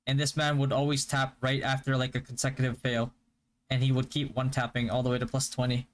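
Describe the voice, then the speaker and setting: Flat-voiced man chatting casually on stream. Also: mid-range voice, fairly quick. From Philippines. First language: Hiligaynon. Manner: relaxed, stop-start, with frequent fillers and restarts. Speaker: game streamer. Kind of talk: casual live-stream chat answering viewers.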